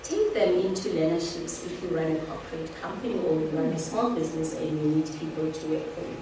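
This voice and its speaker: A woman's voice: low-pitched, brisk, background noise. A woman giving an emphatic, uplifting motivational speech at an event. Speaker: speaker. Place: event venue. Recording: echoey hall from far off.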